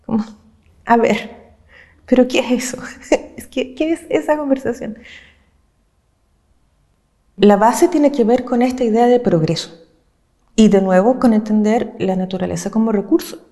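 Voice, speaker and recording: A woman from Chile, an activist speaking earnestly and with passion, laying out her points in measured, listed phrases. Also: on the high side, dark tone. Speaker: social movement activist. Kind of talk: reflective interview talk.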